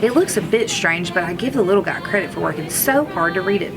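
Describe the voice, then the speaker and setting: A woman reading a children's storybook aloud like a teacher, in an expressive manner. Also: on the low side, fast talker, over music, rough recording. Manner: expressive, acts out characters' lines.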